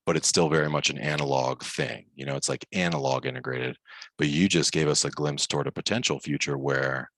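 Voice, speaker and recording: A man with an extremely deep voice, recorded close and dry. He hosts a podcast, chatting casually as he sums up points and addresses guest and listeners. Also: steady tone, brisk, fairly bright tone, no room sound. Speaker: podcast host.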